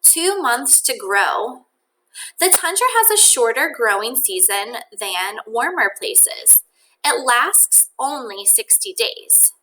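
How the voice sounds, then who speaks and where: A woman teacher reading a children's nonfiction book aloud in an expressive, deliberate way, with think-aloud asides addressed to children. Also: on the high side, a little hiss or hum, low-quality recording.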